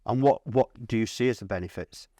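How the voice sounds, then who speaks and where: A man's voice: fairly low pitch, a little fast, warm tone, dry recording, studio quality. A man interviewing in a casual, informal chat, repeating words as he frames his thoughts and questions. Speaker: interviewer for a hi-fi channel. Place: at a hi-fi trade show.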